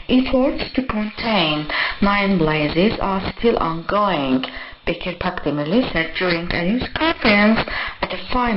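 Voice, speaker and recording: Static-laden shortwave woman newsreader. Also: fairly low pitch. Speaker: radio newsreader. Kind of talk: scripted news bulletin reading. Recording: muffled shortwave radio broadcast, clear static.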